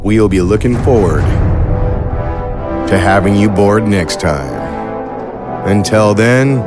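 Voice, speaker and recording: A man reading scripted podcast credits as the announcer, in a measured, formal way. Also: lowish voice, lively intonation. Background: music.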